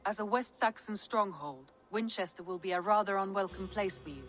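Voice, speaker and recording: An actress voicing a shrewd, composed Viking woman adviser in a video game, measured and knowing. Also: medium pitch, a bit bright, faint music behind, natural voice. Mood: thoughtful.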